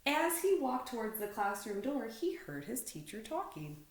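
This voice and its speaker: A woman's voice: fairly high pitch, sing-song, normal speed. A woman reading a children's storybook aloud expressively, like a teacher to pupils. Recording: roomy indoor echo.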